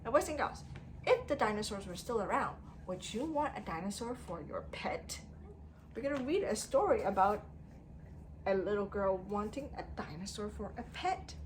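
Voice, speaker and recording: A woman teacher reading a story aloud to young children in an expressive way, using simple phrasing and putting questions to them. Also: mid-range voice, moderate pace, slight background noise. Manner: expressive, emphatic delivery.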